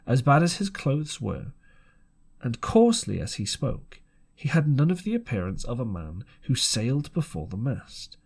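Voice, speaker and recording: A man reads a classic story aloud as an audiobook narrator. His delivery is slow and measured, set at a medium male pitch, with deliberate pauses between phrases. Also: gravelly, darkish tone, breathy edge.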